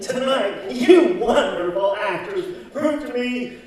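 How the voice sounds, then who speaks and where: A man playing an effusive, grandiose theater director in a live radio comedy, halting as he gushes. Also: very high voice, expressive, heavily acted. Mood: gushing. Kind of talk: old-time radio comedy acting before a live audience. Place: community center stage, live performance. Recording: noisy, very echoey hall, far from mic.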